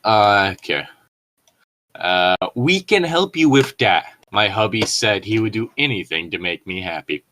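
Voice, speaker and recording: A man's voice: lowish voice, lively intonation, unhurried, pushed and bright, a little hiss or hum. A man co-hosting a comedic reading, chatting casually with rambling explanations and some mild swearing. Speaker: co-host reading and riffing on fan fiction.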